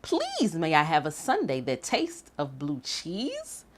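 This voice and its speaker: A woman voicing a small, polite, squeaky mouse in a children's storybook. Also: wildly swooping pitch, slow, heavily acted. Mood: polite, eager.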